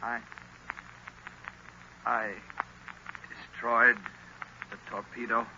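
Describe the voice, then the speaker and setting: An actor playing a distraught young lieutenant held prisoner under a spell, halting and anguished, in old radio drama style. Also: male voice, medium pitch, little pitch movement. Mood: dazed, halting. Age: young adult. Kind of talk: 1940s radio drama acting. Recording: noisy, muffled old radio recording.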